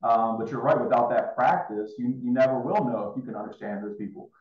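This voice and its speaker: A man's voice: medium pitch, a little fast. A man in his thirties chatting casually as an interview host on a video call. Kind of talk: casual chat. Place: indoor room, on a video call. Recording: roomy, dull video call audio.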